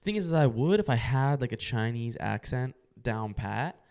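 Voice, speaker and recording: Young man, podcast co-host, casual rambling talk, many fillers, mid pitch. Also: animated, normal speed, vocal fry, a little mellow.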